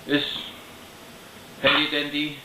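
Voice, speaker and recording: Guy doing a diy repair demo, really slow n careful, roomy echo, bit of noise. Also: fairly high pitch, completely flat delivery. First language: not English. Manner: halting, careful, step-by-step. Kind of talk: unscripted how-to narration. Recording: echoey room, light noise, clicks.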